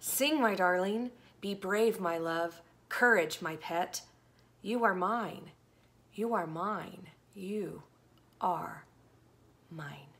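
A woman voicing a tender, loving male God who comforts a beloved soul in a soft, whispered, lulling way. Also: fairly low pitch, extremely slow, exaggerated voice.

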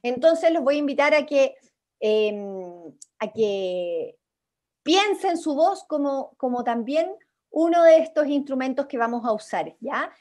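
A woman's voice: high voice, animated, a little slow, darkish tone. A young woman with a Chilean accent hosting an interactive creative workshop over a video call, encouraging and informal. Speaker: workshop host.

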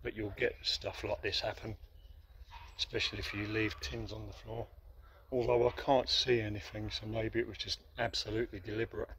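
A man's voice: on the low side, level tone, moderate pace, fairly bright tone, a little hiss or hum. A middle-aged man giving casual, wry walking commentary outdoors on a handheld phone, swearing now and then. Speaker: graffiti vlogger. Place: outdoors by a graffiti wall and woodland. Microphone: handheld camera phone.

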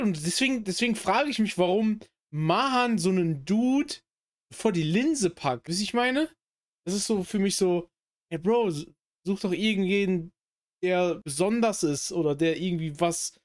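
A young man giving casual, opinionated reaction commentary in emphatic slang peppered with English phrases. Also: high-pitched. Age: young adult. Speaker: reaction video host. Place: small home gaming room.

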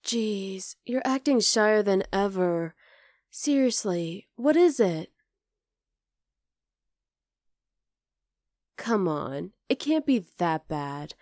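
Casting: actress as young wolf-girl roommate for ASMR roleplay, fond teasing with tender exasperation, unhurried delivery, close dry mic. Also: medium pitch, animated, a little mellow, no echo. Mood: teasing, coaxing. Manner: casual, exasperated but tender, sighing. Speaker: voice actor in audio roleplay. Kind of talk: ASMR roleplay, acted one-sided dialogue.

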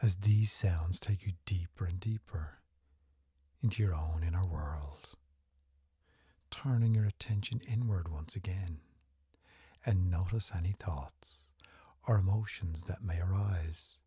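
A man narrating a guided meditation in a soothing, gentle, unhurried way, his breathy, husky voice often falling close to a whisper. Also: sits low, no echo.

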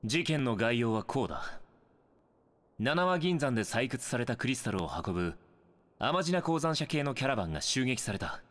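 An actor as a confident young man, lively intonation. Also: medium pitch, normal speed, big-room sound, natural voice. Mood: serious briefing. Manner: confident, informal briefing. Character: self-assured young male scout and investigator. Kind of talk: Japanese video game cutscene acting.

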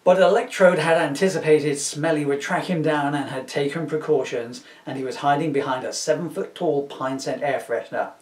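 A middle-aged man reading a comic story aloud in an animated storytelling style. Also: fairly high pitch, moderate pace, few pauses. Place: small room at home. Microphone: camera a couple of metres away. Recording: roomy, distant camera microphone.

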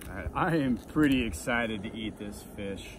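A man's voice: fairly low pitch, lively intonation, moderate pace. A young man filming his own fishing and cooking vlog outdoors, talking to the camera with an enthusiastic, exclaiming manner. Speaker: fishing vlogger. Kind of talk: casual outdoor vlog narration. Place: outdoors on a coastal jetty and grassy shore. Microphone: camera mic at arm's length. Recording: outdoor noise from wind and surf.

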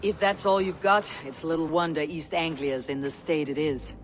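An actor playing a steely Viking warrior in a video game, with a blunt, dry, confident manner. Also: male voice, on the high side, steady tone, moderate pace, lightly acted. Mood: mocking. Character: steely, taunting Viking warrior, protective of clan. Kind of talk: video game cutscene acting. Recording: music behind the voice.

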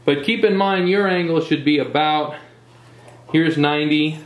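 A man demonstrates welding in his workshop and walks the viewers at home through each step. His voice sits slightly high, and the shop gives it a roomy echo. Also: a little slow. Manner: step-by-step explaining, addresses viewers as folks at home. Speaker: welding instructor.

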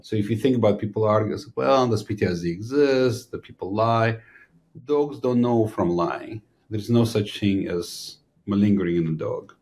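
Man, low voice, podcast host. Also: normal speed. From Ukraine. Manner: animated, explanatory. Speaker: physician host. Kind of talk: interview conversation. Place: small studio room with a banner. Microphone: desk podcast mic.

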